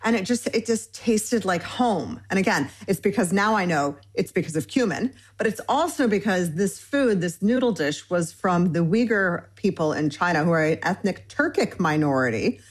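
A woman in her forties from Michigan speaks as a guest in a conversational interview. Her voice sits a little low, and she strings her thoughts together eagerly in long, winding lists, filling the gaps with "um" and "you know.". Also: lively intonation, normal speed, hardly any pauses, breathy edge. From Michigan, United States. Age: 40s. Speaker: cookbook author guest.

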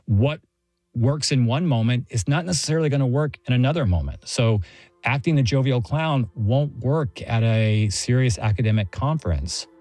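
A middle-aged American man talks like a professor being interviewed, thoughtful and explanatory. His voice sits at an ordinary male pitch, recorded very dry with no room around it. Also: lively intonation, normal speed, a little mellow, no echo. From Texas, United States. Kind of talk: conversational explanation and personal anecdote.

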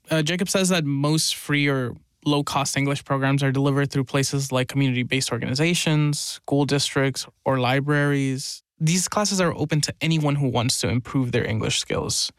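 Calm man reporting on a podcast. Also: medium pitch, moderate pace, no echo. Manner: calm, informative. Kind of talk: explanatory reporting in conversation.

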